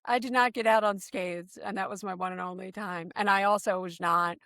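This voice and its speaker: A woman's voice: medium pitch, steady tone, a little fast, vocal fry, fairly bright tone, dry recording, studio quality. A woman from New Jersey in her forties hosting a casual podcast chat, laughing often and telling stories with animation. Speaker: podcast host.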